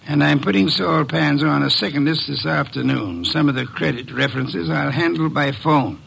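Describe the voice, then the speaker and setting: An actor playing a middle-aged private investigator in a 1950s radio drama, speaking in a precise, measured, commanding way. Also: male voice, fairly high pitch, vocal fry, a bit bright, breathy edge, exaggerated voice, rough recording. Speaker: detective.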